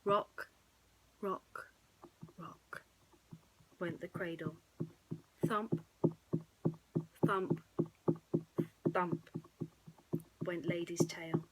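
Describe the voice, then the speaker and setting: A woman narrating a bedtime story in a soothing, hushed manner that often drops close to a whisper. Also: fairly low pitch, steady tone, very slow, long pauses, a little hiss or hum, low-quality recording.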